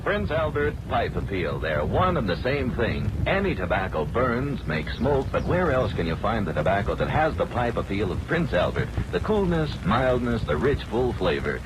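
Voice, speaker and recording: Lively American vintage radio ad man. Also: on the low side, moderate pace, few pauses. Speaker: commercial announcer. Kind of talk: 1940s radio advertising read. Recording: noisy old radio recording.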